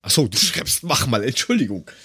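A man with a husky, rough-edged voice chatting casually and jokily as a podcast host, full of colloquial exclamations. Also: mid-range voice, sing-song, a little fast, pushed and bright, no echo. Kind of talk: casual banter, tasting snacks and drinks.

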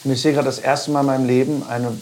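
A woman hosting a casual cooking chat, slightly hoarse today, chatty and self-mocking. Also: very deep voice, level tone, racing. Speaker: podcast host. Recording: noisy kitchen with frying.

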